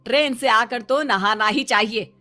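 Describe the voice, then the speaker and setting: A woman's voice: fairly high pitch, unhurried, sharp tone, faint music behind. A woman voicing a nagging, exasperated middle-aged mother in a dramatized moral story. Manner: scolding, nagging.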